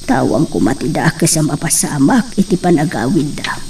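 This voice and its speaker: A woman's voice: deep voice, animated, normal speed, warm tone, distant mic, slight background noise. A woman playing a worried, pious mother in a radio drama, pleading and lamenting. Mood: anxious, prayerful.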